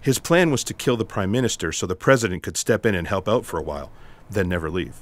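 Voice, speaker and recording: Casting: unflappable special agent played by a man, low-pitched, dry, deadpan and wordy, comic sci-fi radio theatre. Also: quick pace. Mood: matter-of-fact. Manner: deadpan, matter-of-fact, wordy. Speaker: voice actor.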